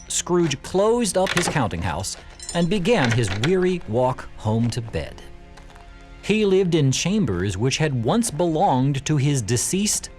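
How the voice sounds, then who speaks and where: A man narrating a classic story in audio theatre, with measured, emphatic, expressive delivery. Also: medium pitch.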